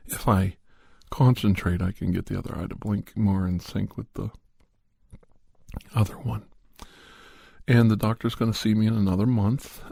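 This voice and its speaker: A man hosting an ASMR podcast, talking informally in a hushed, unhurried way close to the microphone, sometimes slipping toward a whisper. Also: fairly low pitch, creaky, dry recording. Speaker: podcast host. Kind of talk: ASMR, rambling update and story recap.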